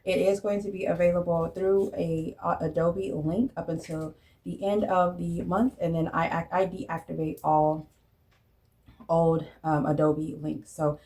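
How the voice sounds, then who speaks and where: A woman's voice: on the low side, steady tone, unhurried, darkish tone. A woman in her late thirties chatting casually to camera about her budget, rambling with many fillers and restarts. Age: 30s. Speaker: budgeting vlogger.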